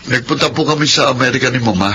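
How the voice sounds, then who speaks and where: A man's voice: mid-range voice, quick pace, pushed and bright, natural voice. An actor playing a reticent, melancholy man in a Filipino radio drama, speaking in a hesitant, subdued way. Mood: reserved. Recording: noisy radio drama scene with ambience.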